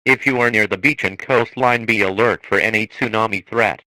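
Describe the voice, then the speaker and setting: A man reading a scripted emergency broadcast in a formal, measured manner. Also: lowish voice, pushed and bright, dry recording, low-quality recording. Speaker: emergency alert announcer.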